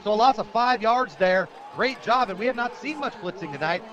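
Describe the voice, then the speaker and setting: A man calling live football play-by-play on the radio in an energetic, excitable way. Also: high-pitched, a little fast, highs cut off. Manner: energetic, rises to shouts on big plays. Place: outdoor high school football stadium broadcast position. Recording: loud crowd and noisy outdoor stadium. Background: crowd noise.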